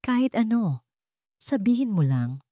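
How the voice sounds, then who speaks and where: Lady doing a rich proud dad, desperate n pleading, storybook drama, pitched up from her narration, very dry sound. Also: sing-song, unhurried, dark tone, dry recording. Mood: eager agreement. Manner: pleading, urgent. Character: arrogant rich father, now pleading and desperate.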